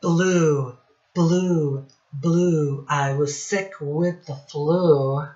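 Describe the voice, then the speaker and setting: Very slow-paced woman teaching little children. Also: low voice, fairly even intonation, dark tone, reverberant. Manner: simple questions with deliberate repetition. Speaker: children's teacher and read-aloud host. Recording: dull, lo-fi sound.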